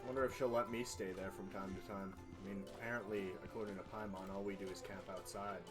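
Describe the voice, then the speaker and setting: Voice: deep voice, steady tone, fast talker. A game streamer giving casual live commentary, sweary and joking in reaction to the game. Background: game music.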